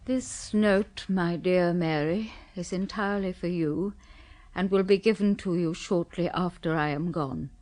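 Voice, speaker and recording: An actress with a British accent playing an anxious, polite new widow in a 1970s radio drama. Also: female voice, fairly low pitch, measured pace, a little mellow, natural voice. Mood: reading gravely.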